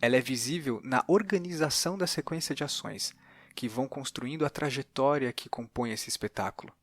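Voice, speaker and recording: A man with a Brazilian accent, a podcast host speaking in a measured, articulate explanatory way. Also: mid-range voice, fairly bright tone, no room sound. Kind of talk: explanatory podcast narration and interview.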